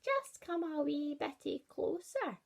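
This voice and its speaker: A woman voicing a sly wolf pretending to be a granny, in a Scottish accent, slow and wheedling. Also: high-pitched, sing-song, gravelly, pitched above the performer's own voice, heavily acted.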